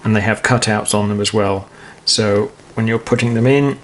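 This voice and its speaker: A man's voice: fairly low pitch, fairly even intonation, normal speed. British man demonstrating, noisy recording. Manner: matter-of-fact, explanatory. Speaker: presenter of a how-to product installation video. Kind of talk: informal step-by-step demonstration commentary.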